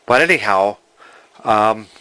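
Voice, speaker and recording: Man, 60s, low voice, hesitant and rambling, casual workshop host talking from behind the camera. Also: lively intonation, slow pace, pushed and bright, smooth, poor audio. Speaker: vintage TV restorer, video host. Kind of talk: casual spoken workbench vlog. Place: cluttered indoor home workshop.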